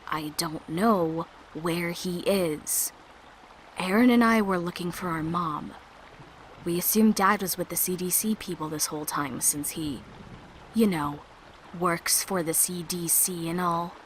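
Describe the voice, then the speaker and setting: Young female survivor, played by a woman: slightly low voice, suspicious, curt, challenging, audio drama with light background noise. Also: unhurried, lightly acted, poor audio. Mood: guarded. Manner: terse, challenging, guarded. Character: wary, defensive young woman guarding her family. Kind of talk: amateur audio drama acting.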